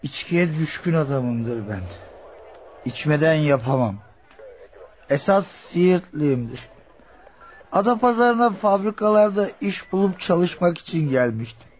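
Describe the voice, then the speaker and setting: An actor playing a hard-drinking, poor father who confesses his crimes bluntly and haltingly in a radio drama. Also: a little high, animated, darkish tone. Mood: grim, confessing.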